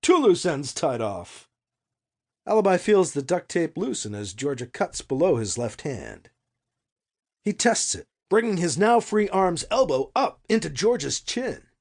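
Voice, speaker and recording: Casting: male audiobook narrator, high-pitched, hugely varied intonation, dramatic fiction read. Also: very animated, normal speed, a little mellow.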